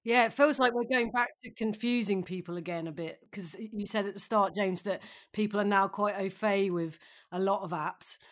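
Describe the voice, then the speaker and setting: A woman with a mid-pitched voice hosting a panel discussion. She reacts conversationally and passes questions to her guests. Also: normal speed. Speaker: podcast host. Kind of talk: podcast discussion.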